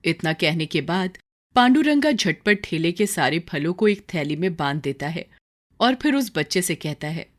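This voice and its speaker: A woman narrates a scripted moral tale as a storyteller. Her voice is a little low for a woman, with highly animated intonation that carries the drama. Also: normal speed.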